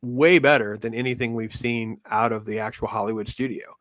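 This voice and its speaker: A middle-aged man hosts a casual fan livestream. His voice sits at an ordinary male pitch, and he rambles in short, clipped, conversational sentences. The sound is dry, with no room around it. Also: steady tone, a little fast, fairly bright tone, no room sound. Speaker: host of an online Star Wars fan show. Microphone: online call on a livestream, camera off.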